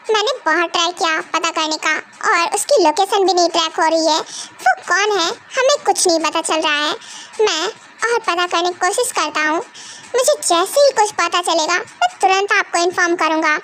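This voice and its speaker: A woman's voice: very high voice, moderate pace, sharp tone, a little hiss or hum. A woman playing an apologetic male assistant. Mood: placating. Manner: apologetic, placating. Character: nervous, obedient male assistant.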